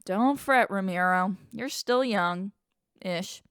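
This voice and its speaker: Radio drama elder: eighties, astute, grandmotherly, wry and unhurried, recorded dry. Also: medium pitch, a bit bright, clean tone, no echo. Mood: wry, kindly. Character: woman in her eighties, kindly shrewd amateur sleuth.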